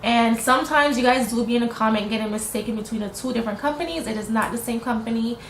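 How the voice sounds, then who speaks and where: Raspy young woman, gravelly late-night voiceover, chatty and gushing. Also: fairly high pitch, fast talker, reverberant, slight background noise. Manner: chatty, gushing, says "you guys" often. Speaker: beauty vlogger. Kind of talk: casual product review voiceover. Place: home at night, sirens outside.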